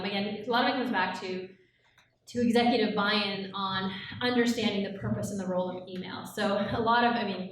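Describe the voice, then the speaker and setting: A woman's voice: mid-range voice, a little hiss or hum. Woman panelist in an echoey hall. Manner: conversational, practical. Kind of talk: conference panel answer. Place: large echoey event room, seated. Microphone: handheld mic. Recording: echoey room from far off.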